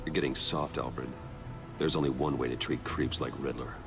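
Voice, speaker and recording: An actor playing a grim, resolute masked vigilante detective in a video game, terse and serious with a gravelly voice. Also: male voice, a bass voice, fairly even intonation, moderate pace. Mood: grim, stern. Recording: music behind the voice.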